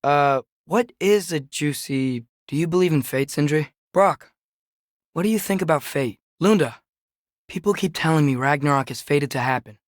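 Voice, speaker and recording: A man's voice: fairly high pitch, normal speed, no echo, clean studio sound, close to an everyday voice. A young man playing a curious, earnest teenage boy in a Norse myth video game, talking in a natural, slightly hesitant way. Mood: curious, casual.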